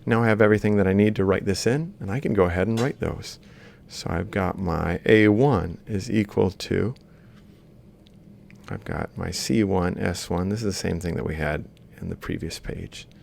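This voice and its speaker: Male lecturer, low register with heavy vocal fry, informal step-by-step walkthrough. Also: normal speed, a little mellow, slight background noise. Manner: explains step by step while writing. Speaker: lecturer in robotics. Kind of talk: informal worked-example lecture.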